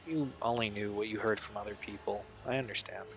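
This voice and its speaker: A male actor plays a troubled young man with a low voice in an audio drama. He confides a painful past in a halting, earnest way. Music plays beneath him. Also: fairly even intonation, natural voice. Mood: quiet, resolute.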